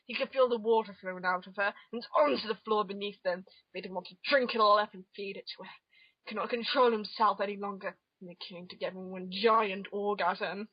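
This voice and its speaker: A woman's voice: medium pitch, fairly even intonation, brisk, husky, dry recording. Woman narrator, muffled dry home recording. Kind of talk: amateur dramatic reading of comic fan fiction.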